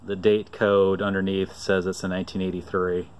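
Guy with a low steady voice, clear, talking over his phone outside, laid-back rambling with lots of uhs. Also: moderate pace. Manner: relaxed, rambling storytelling with frequent "uh"s. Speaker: vlogger showing a vintage find. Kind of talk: casual off-camera narration. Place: outdoors on a driveway.

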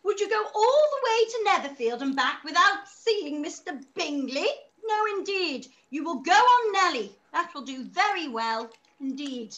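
A woman playing a fussy, scheming, excitable middle-aged mother in a theatrical radio-play style, on a video call. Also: extremely high pitch, normal speed, hardly any pauses, dull audio, full character voice. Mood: bossy, scheming. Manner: theatrical, exclamatory. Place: room at home, on a video call.

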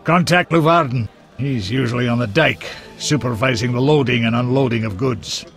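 An actor playing a blunt, crude, hearty dwarf in a fantasy game, talking in an earthy way. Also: male voice, medium pitch, normal speed, gravelly, fairly bright tone, faint music behind. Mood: matter-of-fact.

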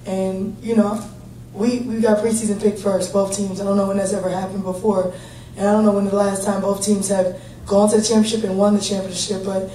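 A woman in her thirties, a head basketball coach, answering reporters at a post-game press conference in a steady, plain-spoken, upbeat way, picked up by a table mic from across a large room. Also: medium pitch. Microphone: table mic, heard from a distant camera. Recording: echoey room, distant mic, faint hum.

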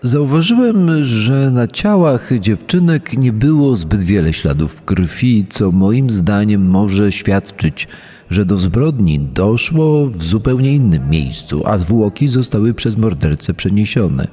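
A man acts out a grave pastor testifying in a true-crime dramatisation. He is dark and husky, deliberate in every phrase, and his voice rings in a long hall reverb. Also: fairly low pitch, sing-song, exaggerated voice. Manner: measured, deliberate. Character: male pastor giving grave witness testimony.

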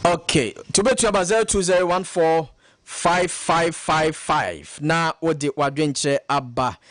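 A man from Ghana hosting a radio phone-in show, greeting callers briskly one after another. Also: on the high side, a bit bright, no echo.

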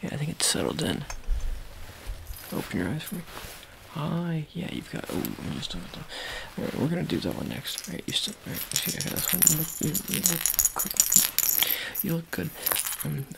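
Gravelly man in his thirties, soft offhand ASMR roleplay with whispers, close mic in a dark room, audible hiss behind. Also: on the high side, sing-song, moderate pace. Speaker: ASMR creator playing a surgeon. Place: small dark room indoors. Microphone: close-up camera held near the face.

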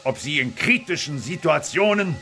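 A proud, blustering military general in a sci-fi radio play. He is gruff and pompous, fairly high for a man and gravelly, with music behind him. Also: expressive, moderate pace, sharp tone, exaggerated voice. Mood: stern, pompous. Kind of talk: German children's audio drama.

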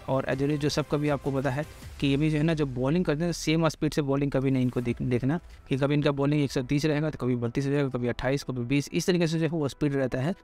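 A man's voice: medium pitch, a little mellow. An Indian man presenting informal sports analysis, explaining things repetitively and addressing listeners directly. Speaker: cricket pitch report presenter.

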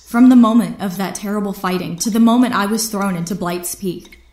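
A woman's voice: mid-range voice, fairly quick, a little mellow, lightly acted. Echoing, defiant imprisoned woman captain, acted by a woman. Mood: proud, bitter. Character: defiant imprisoned female airship captain, bitter and proud. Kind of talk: dramatic radio drama acting. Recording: roomy, echoing sound.

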